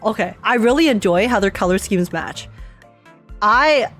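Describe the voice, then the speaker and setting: A woman reacting casually to a game on a livestream, with an excitable, giggly and playful manner. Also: medium pitch, normal speed, soft background music. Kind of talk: casual live gaming reaction.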